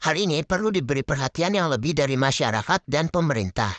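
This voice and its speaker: Young man, slightly high voice, formal measured narration. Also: expressive, a bit bright, dry recording. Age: young adult. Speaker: student presenter. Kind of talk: scripted narration.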